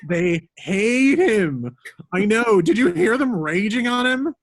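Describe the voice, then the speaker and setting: A man reading a cynical, mocking twenty-something with a raspy, drawn-out delivery in an amateur table read. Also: high-pitched, very animated, full character voice. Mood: mocking, amused. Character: sneering, cynical twenty-something male heckler.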